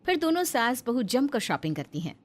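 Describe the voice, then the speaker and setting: Female storyteller, high voice, scripted moral-tale narration. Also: sing-song, brisk, slight background noise.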